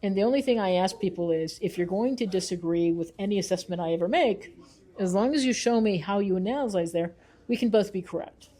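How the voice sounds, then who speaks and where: A woman's voice: medium pitch, brisk, dark tone. A woman giving thoughtful interview answers as a researcher and author, explaining her views in an earnest, emphatic way.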